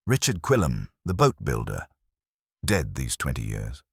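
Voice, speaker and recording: A man voicing a mild police inspector who speaks quietly and gently, with dry wit. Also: rumbling low, huge pitch swings, measured pace, vocal fry, breathy edge, no echo, voice pushed down, exaggerated voice. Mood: quietly wry. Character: mild, dryly witty male police inspector.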